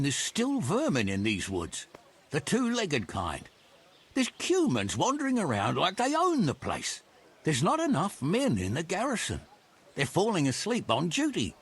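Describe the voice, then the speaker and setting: An actor playing an earnest, worried medieval garrison soldier who speaks urgently and deferentially in a video game. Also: male voice, a little high, fairly bright tone, husky, full character voice. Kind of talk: video game cutscene acting.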